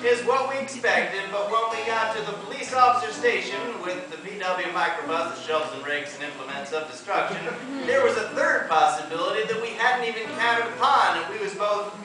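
A man's voice: high-pitched, brisk, hardly any pauses. Young man drawling a story over guitar. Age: young adult. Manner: drawling, deadpan storytelling with long lists. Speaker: student performer. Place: theater stage, live audience. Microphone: far camera. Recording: echoey theater from far off. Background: acoustic guitar strumming.

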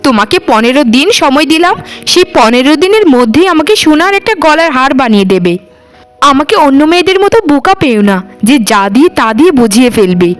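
A woman's voice: sits high, sing-song, fast talker. A woman voicing a greedy, nagging village wife in a cartoon, scolding and melodramatic. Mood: demanding, scolding. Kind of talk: Bengali cartoon voice acting. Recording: music behind the voice. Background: music.

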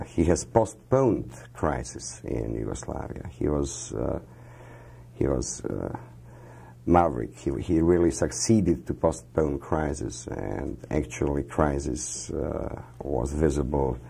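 This is a man in his fifties from Croatia, interviewed in a dark studio on a lapel mic. His voice sits extremely low, with a husky, gravelly grain. He explains slowly and haltingly, filling pauses as he goes. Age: 50s. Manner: halting, many fillers, measured explanations. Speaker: economic minister, interview guest.

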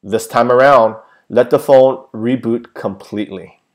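A man's voice: mid-range voice, measured pace. A man giving a plain, methodical step-by-step how-to narration, with frequent filler sounds, as a tech tutorial presenter.

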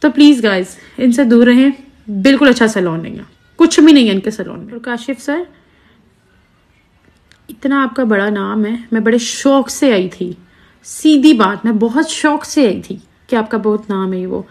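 A woman's voice: a little high, moderate pace, a little mellow. A young woman, talking earnestly and indignantly to her followers into a phone held at arm's length indoors. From Pakistan. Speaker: social media creator. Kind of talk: selfie video complaint to followers.

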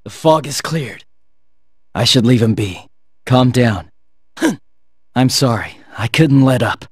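A man's voice: medium pitch, takes it slowly, breathy edge, no echo, studio quality. An actor playing a cool, resolute teenage swordsman in a fighting video game, speaking in terse, clipped battle lines. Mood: composed. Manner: terse, clipped battle barks and calm taunts.

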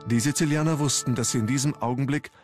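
A man's voice: medium pitch, quick pace, darkish tone, soft background music. A man reading scripted documentary narration as the narrator of a history programme.

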